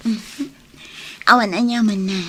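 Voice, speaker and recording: A woman playing a soft-hearted, hopeful young woman in love in an Ilocano radio drama. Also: medium pitch, steady tone, a little slow, breathy edge. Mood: tender.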